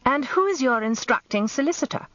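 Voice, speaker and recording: An actress playing a sharp-tongued, merciless older wife in a radio drama, British, with a dry, imperious manner. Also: female voice, high-pitched, lively intonation, fairly quick, dry recording, muffled recording. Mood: scornful. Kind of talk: radio drama first-person narration and dialogue.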